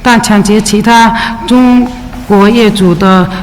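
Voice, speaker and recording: A Chinese immigrant woman reads her testimony at a steady, measured pace, pausing now and then. Her voice carries the long reverb of a big public chamber, with a faint ambient hum underneath. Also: mid-range voice. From China. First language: Mandarin. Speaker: witness testifying at a city council hearing. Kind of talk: read testimony in Mandarin. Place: large public hearing chamber. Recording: echoey hearing room with faint ambience.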